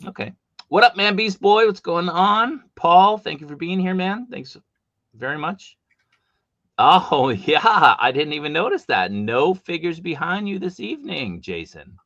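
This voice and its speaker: A man hosting a live stream from home, chatty and animated, with a big voice and coming down with a cold. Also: high-pitched, a little slow, dry recording, dull audio. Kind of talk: casual live stream chat.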